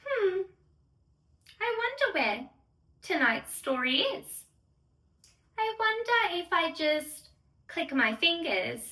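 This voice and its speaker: Woman as a high, sing-song storytelling fairy. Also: sharp tone, full character voice. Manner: gushing, sing-song, talking directly to little children. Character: sweet, excitable young female storytelling fairy who loves bubbles. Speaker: children's storyteller and channel host. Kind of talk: children's bedtime story read-aloud. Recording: roomy echo, distant microphone.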